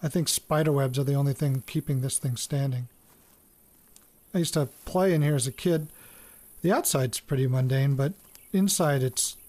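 A man's voice: medium pitch, normal speed, a little mellow, natural voice. An actor playing a hesitant farm-raised man in an audio drama, murmuring and thinking aloud as if into a recorder. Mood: hesitant, wistful.

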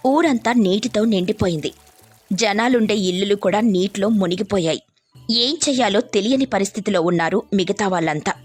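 Woman, scripted story narration, slightly rough-edged voice, mid pitch, light music. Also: normal speed.

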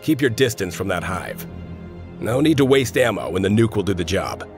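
A man's voice: fairly low pitch, animated, normal speed, darkish tone, natural voice. A brash mercenary team leader voiced by a man grumbles and orders casually. His intonation swings widely, with music faintly behind. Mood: matter-of-fact. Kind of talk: video game military acting.

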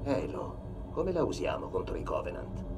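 A man's voice: low voice, huge pitch swings, normal speed, over music. A man playing a stoic, blunt armored super-soldier in a dubbed sci-fi game, speaking tersely. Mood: firm, questioning.

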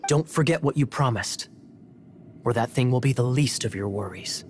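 An actor playing a composed, sly male knight captain in a video game, teasing with smooth, ironic politeness. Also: medium pitch, lively intonation, normal speed, darkish tone, a little hiss or hum, natural voice. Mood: amused. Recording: music behind the voice.

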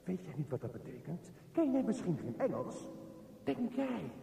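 An actor playing a self-absorbed media director talking to himself in a radio play monologue. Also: male voice, high voice, sing-song, moderate pace, vocal fry, dark tone, full character voice. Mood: boastful, mocking. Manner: muttering, self-absorbed, with mocking outbursts. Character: brooding male media director muttering to himself in the dark. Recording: distant, echoey hall with long reverb.

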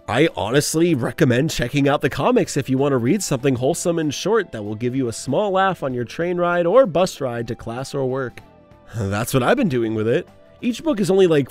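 Animated young man doing video commentary. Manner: animated, with sudden jumps in pitch and laughs. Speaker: video essay host.

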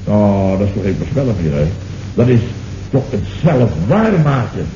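A man's voice: on the low side, very animated, moderate pace, mellow. A dull, noisy old radio comedy recording with a roomy echo. an indignant Dutch man, flustered and stammering as he blusters through the story of his misfortune. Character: flustered, indignant man recounting his own disastrous errand.

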